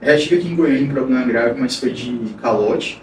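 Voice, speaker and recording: A man's voice: medium pitch, a little fast. Man recounting a story, roomy and distant. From Bahia, Brazil. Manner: frank, rambling recounting with many restarts. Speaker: podcast guest, engineer. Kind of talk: casual interview storytelling. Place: podcast studio. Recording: roomy, distant mic, noisy.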